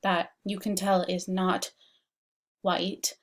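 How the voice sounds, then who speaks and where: A woman's voice: lowish voice, monotone, slow. A young woman from Washington DC giving a casual recorded class presentation as a student, chatty and full of "like" and "um". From Washington DC, United States. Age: young adult. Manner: chatty, frequent "like" and "um" fillers. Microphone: laptop webcam mic.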